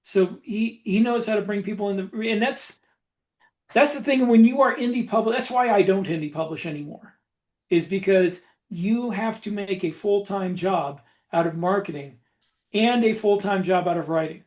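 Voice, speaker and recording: A man's voice: high-pitched, normal speed, darkish tone. A man co-hosting a podcast, talking shop casually and explaining things with repeated phrases for emphasis. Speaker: author and podcast co-host. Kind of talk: casual shop talk about book publishing.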